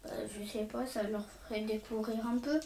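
A woman's voice: a little high, steady tone, moderate pace, mellow. A teenage girl answering interview questions hesitantly. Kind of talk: casual spoken testimony. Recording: echoey room, faint noise.

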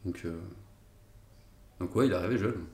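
A man's voice: deep voice, normal speed, a little mellow. A man giving a casual, hesitant interview about his family, with frequent fillers. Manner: hesitant, many fillers and restarts. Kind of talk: casual spoken testimony. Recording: echoey room, faint noise.

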